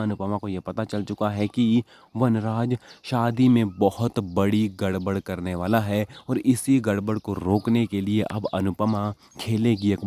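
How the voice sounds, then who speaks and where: A man's voice: lowish voice, mellow. A man delivering a scripted voice-over in the manner of TV gossip news, repeating points to build suspense. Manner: repetitive, suspense-building recap. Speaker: channel narrator of TV serial gossip. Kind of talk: scripted voice-over narration of soap spoilers. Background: background music.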